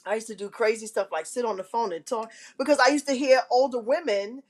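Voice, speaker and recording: A woman hosting a live online show, telling a candid personal story to her viewers in a big, carrying voice, frank and self-mocking, often clearing her throat. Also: fairly high pitch, quick pace.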